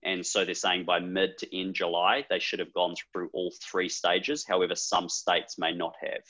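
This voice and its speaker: A man's voice: sits low, flat delivery, moderate pace, sharp tone, lo-fi sound. A man with a New Zealand accent hosting a casual podcast, talking things through thoughtfully with plenty of fillers. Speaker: podcast host.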